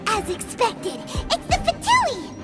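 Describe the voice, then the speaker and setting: A chatty little fairy sidekick from a video game. The voice is squeaky, childlike and sky-high, with lively swings and an unhurried pace. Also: female voice, heavily acted. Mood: flustered. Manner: refers to herself by name, blurting. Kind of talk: video game character acting. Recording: loud game music behind the voice.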